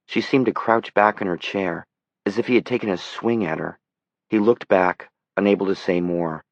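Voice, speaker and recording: A man's voice: sits low, no echo, muffled recording. A man narrating scripted dramatic fiction as an audiobook reader.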